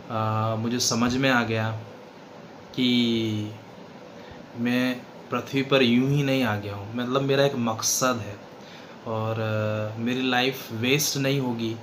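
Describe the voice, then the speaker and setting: A man of 39 with an Indian accent gives an unscripted religious testimony, halting often and switching between two languages. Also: mid-range voice. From western Madhya Pradesh, India. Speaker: seminar participant giving a testimony. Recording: clearly noisy background.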